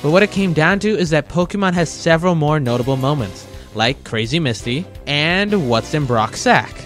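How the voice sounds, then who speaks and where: A man's voice: a little high, animated, moderate pace, fairly bright tone, faint music behind. A man hosting a countdown review video in a casual, scripted narration with a wry, jokey manner. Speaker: host of a top-list video.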